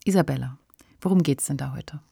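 A woman's voice: rumbling low, brisk, vocal fry, mellow, slightly rough, no room sound, studio quality. A woman hosting a podcast, giving a scripted introduction. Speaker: podcast host.

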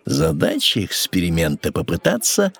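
A man's voice: low voice, wildly swooping pitch, moderate pace, gravelly, husky, heavily acted. An actor playing a proud, courteous seventeenth-century scientist explaining his experiment theatrically in an educational audio drama. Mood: proud, explanatory.

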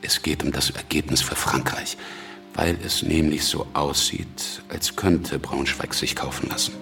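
A ruthless revolutionary leader in a radio play. His voice sits extremely low and crackles with gravel. He schemes deliberately and with total self-assurance, like a conspirator. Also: fairly even intonation, exaggerated voice. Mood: calculating, sly. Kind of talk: radio drama acting.

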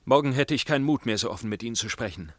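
An actor playing a tormented, lovelorn gentleman torn by conscience, speaking formally and earnestly in a radio drama. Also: medium pitch, animated, racing, lightly acted. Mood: earnest, urgent. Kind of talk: German radio drama acting, narration and dialogue.

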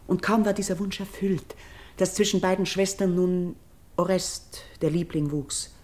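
An actress playing an earnest, steadfast Greek princess and priestess in a classical verse radio drama, speaking in solemn, measured, elevated declamation. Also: female voice, lowish voice, a little mellow, lightly acted. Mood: solemn, remembering. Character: noble Greek king's daughter turned priestess, earnest and steadfast.

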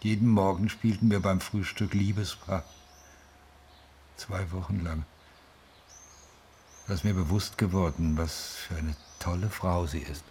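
An actor playing a middle-aged, grieving father in a radio drama, reflective and halting, with a husky voice. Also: low-pitched, steady tone, darkish tone, a little hiss or hum. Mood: wistful. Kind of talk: radio drama, interview-like monologue.

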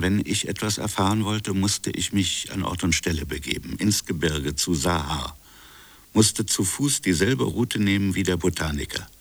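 A man's voice: low-pitched, gravelly, fairly bright tone, exaggerated voice. An actor playing a colonial official in a radio drama, recounting his own investigation in a brooding, insistent manner. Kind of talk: radio drama dialogue and first-person narration.

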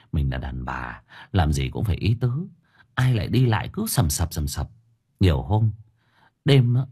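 A man's voice: deep voice, mellow, breathy edge, full character voice. A man voicing a nosy younger neighbour who probes and chides in colloquial speech within a narrated story. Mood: chiding. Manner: probing, colloquial, admonishing. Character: nosy younger neighbour questioning and gently chiding a wife.

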